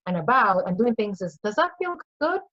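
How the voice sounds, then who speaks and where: A woman's voice: mid-range voice, very animated, brisk, a bit bright, faint music behind. Peruvian-accented woman, earnest, lo-fi sound. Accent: Peruvian Spanish. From Peru. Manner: earnest, repeats phrases, acts out inner voices. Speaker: guest coach. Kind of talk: casual interview chat.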